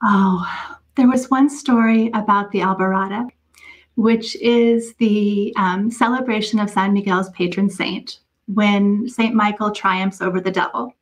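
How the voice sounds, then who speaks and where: Measured woman author as interview guest. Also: mid-range voice, fairly even intonation, poor audio. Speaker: novelist being interviewed as podcast guest. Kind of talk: conversational anecdotal interview answers.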